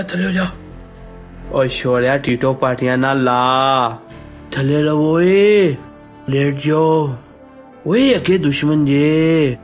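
An actor playing an excitable male Punjabi soldier in a mobile game, barking comic, commanding battle callouts. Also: fairly high pitch. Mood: scolding. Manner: exclamatory, commanding. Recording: game audio with music behind.

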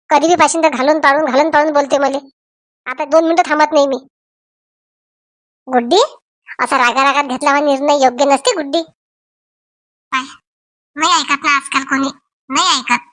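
A woman's voice: high voice, dry recording, clean studio sound, natural voice. A woman voicing scolding, nosy village women in a comedy cartoon, with a rural Vidarbha Marathi accent and theatrical exclamations. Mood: indignant, then disapproving. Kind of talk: comic cartoon voice acting in village dialect.

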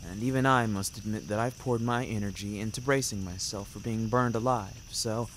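A man narrating a scripted first-person story in a deliberate, dramatic and florid manner. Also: on the low side, fairly even intonation, a bit bright, a little hiss or hum. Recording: music behind narration.

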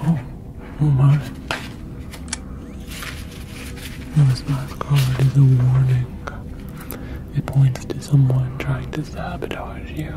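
A woman voicing a soothing alien psychic fortune teller in a gentle, breathy whisper for an ASMR roleplay. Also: very deep voice, little pitch movement, very noisy. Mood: ominous. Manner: slow, gentle, reassuring. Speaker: ASMR performer.

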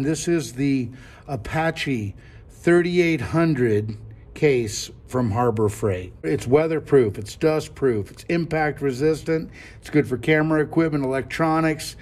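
A man's voice: mid-range voice, a little mellow. Chatty middle-aged man demoing gear. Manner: chatty, step-by-step, repeats himself. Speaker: product reviewer. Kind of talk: casual product demo. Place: classroom at a table.

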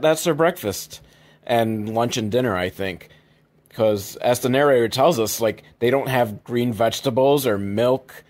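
A man hosting a comedy podcast, recapping films in a casual, rambling, sweary, mock-exasperated way. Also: fairly high pitch. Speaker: podcast host.

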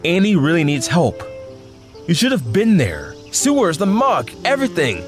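A man's voice: on the high side, huge pitch swings, normal speed. A young man playing an earnest, troubled secret superhero in an audio drama, with a hesitant, pleading delivery. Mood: earnest, weary. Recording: music behind the voice. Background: music.

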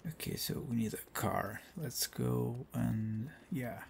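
A young man hosting a let's play, chatting casually and rambling with many fillers; his voice is bassy. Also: slow pace, vocal fry, slight background noise. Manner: rambling, hesitant, filler-heavy. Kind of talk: casual gameplay commentary.

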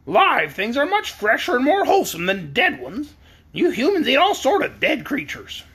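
Talking storybook hen, put on by a narrator, female, high-pitched, swooping intonation, brisk, cheeky, argumentative, self-assured. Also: wildly swooping pitch, a bit bright, breathy edge, full character voice. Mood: indignant, argumentative.

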